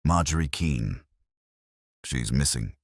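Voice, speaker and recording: Casting: man playing wisecracking male gumshoe for audiobook, pressing questions, deep and lively. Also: takes it slowly, slightly rough, no room sound, clean studio sound, heavily acted. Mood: sardonic. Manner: sardonic, pressing. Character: wry, probing male private investigator.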